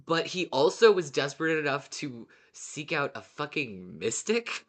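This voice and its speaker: A podcast host in a casual fan discussion, talking in an animated way with plenty of swearing. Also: medium pitch, a little slow.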